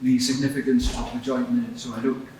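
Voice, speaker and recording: A man playing a brisk, authoritative judge who gives formal procedural instructions from the bench. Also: mid-range voice, little pitch movement, dark tone, close to an everyday voice. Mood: official. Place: large echoing courtroom. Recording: very echoey hall, distant, noisy.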